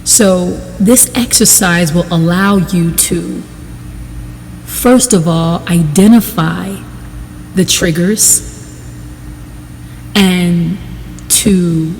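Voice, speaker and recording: Slow, mellow-voiced woman guiding meditation. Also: lowish voice, long reverb tail, a little hiss or hum, rough recording. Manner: measured, deliberate, pausing between short phrases. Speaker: guided meditation instructor. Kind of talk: guided meditation instruction.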